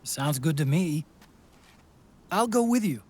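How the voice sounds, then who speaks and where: A man's voice: on the high side, expressive, slow pace, a little mellow. An actor playing an earnest, emotional and devoted male sidekick in a game cutscene, speaking in a pleading way. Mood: earnest, resolute. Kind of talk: dramatic video game cutscene acting.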